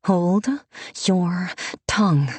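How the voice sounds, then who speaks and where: A woman's voice: fairly low pitch, very slow, darkish tone, breathy edge, dry recording, exaggerated voice. A woman voicing a cold, commanding noblewoman with a clipped, stern delivery. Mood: hissing.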